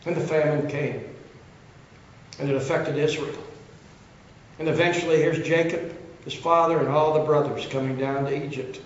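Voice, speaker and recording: An older man preaching a sermon, retelling Bible stories, his throat rough and coughing as he talks around a cough drop. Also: on the high side, steady tone, normal speed, long pauses. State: losing his voice, throat trouble. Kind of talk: sermon storytelling. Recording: very echoey, dull sound, faint hiss.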